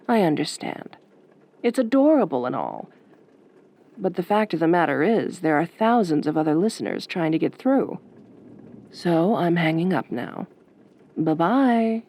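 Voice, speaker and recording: A woman acting as a sardonic late-night radio DJ in a horror audio roleplay, soft-spoken and velvety with a dry, wry delivery. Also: on the low side, full character voice.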